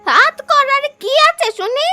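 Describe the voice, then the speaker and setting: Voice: extremely high pitch, animated, a little slow, bright, forceful tone, soft background music, exaggerated voice. An actor playing a humble, anxious old village woman in a cartoon, plaintive and protesting. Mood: indignant, defensive. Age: older. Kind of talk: cartoon voice acting.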